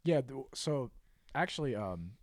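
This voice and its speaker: A man's voice: mid-range voice, big pitch swings, measured pace, no room sound, clean studio sound. An American man from New England co-hosting a podcast, talking casually and wandering off into loose asides. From New England, United States. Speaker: podcast co-host. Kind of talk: casual chat.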